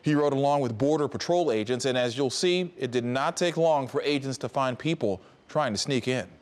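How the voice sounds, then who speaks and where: A man's voice: medium pitch, a little fast. A man anchoring television news, reading a scripted introduction to a report.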